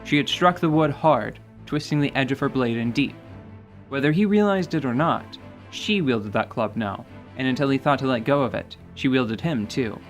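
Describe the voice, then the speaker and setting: A man's voice: mid-range voice, moderate pace. A man giving dramatic, scripted narration for a fantasy radio play. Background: music.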